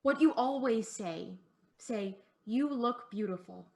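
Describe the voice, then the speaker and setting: A woman's voice: fairly high pitch, takes it slowly, gravelly, a little mellow. A woman playing a sheltered, eager young daughter in a play performed as a video call, coaxing and insistent in a girlish way.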